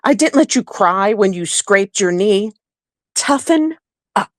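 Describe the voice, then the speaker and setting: A woman's voice: medium pitch, expressive, no echo, studio quality. A woman playing a nagging, old-fashioned, disapproving grandmother in an audio play, scolding in manner. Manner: scolding, slow and dramatic.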